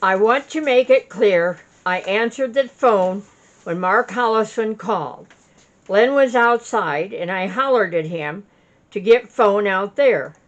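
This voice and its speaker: A woman witness reads a written statement aloud at a very slow pace. The recording is somewhat muffled and lo-fi, and her pitch sits in an average range. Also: expressive. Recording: dull lo-fi recording.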